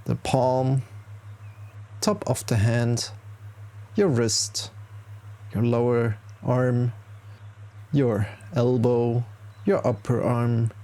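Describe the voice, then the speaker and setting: A man leading a guided meditation as a teacher, speaking calmly in short, unhurried instructions with pauses between them. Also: medium pitch. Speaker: yoga nidra meditation teacher. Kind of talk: guided meditation, body scan.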